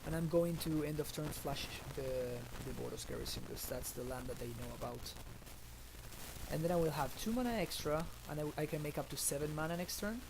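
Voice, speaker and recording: A man from Argentina in his thirties talks through his card game moves on a headset, thinking aloud in a relaxed way. His voice sits a little high and carries a gravelly edge. Steady noise runs under the stream audio. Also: fairly quick. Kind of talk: casual card game commentary. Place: small room indoors.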